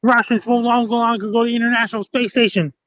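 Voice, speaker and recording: A man's voice: extremely high pitch, level tone, fast, fairly bright tone, no room sound. A man giving news-like commentary as a show guest, through a dull lo-fi recording.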